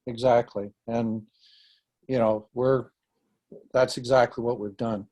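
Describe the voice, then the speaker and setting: A man in the audience asks questions hesitantly, with fillers and restarts. His voice sits at a middle pitch. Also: flat delivery, slow, darkish tone. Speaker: audience member. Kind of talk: Q&A question and discussion.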